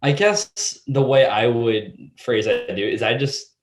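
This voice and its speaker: A man's voice: mid-range voice, big pitch swings, a little slow, reverberant, background noise. A teenage boy answering interview questions casually, rambling and hesitant, filling with "like". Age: teens. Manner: rambling, heavy use of "like", hesitant. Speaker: student guest.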